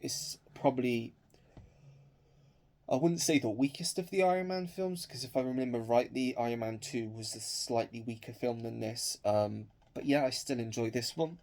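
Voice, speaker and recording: A man's voice: mid-range voice, moderate pace, a little mellow. A young man with a cold, sniffling as he chats hesitantly with lots of ums about his film collection. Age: young adult. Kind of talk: casual collection showcase.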